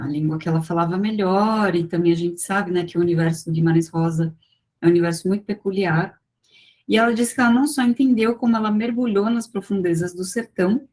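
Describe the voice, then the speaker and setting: A woman giving an academic talk over a video call, measured and full of small fillers and restarts as she walks through slides. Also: fairly low pitch, darkish tone. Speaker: guest lecturer.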